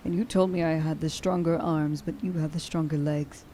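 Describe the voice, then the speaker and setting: Woman playing a soft, mellow, tender young huntress. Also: low voice, fairly even intonation, moderate pace, slight background noise, close to an everyday voice. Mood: amazed, joyful. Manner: soft, breathy, often dropping to whispers. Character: tender, emotional young huntress reunited with a friend. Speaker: voice actor. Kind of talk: ASMR roleplay monologue.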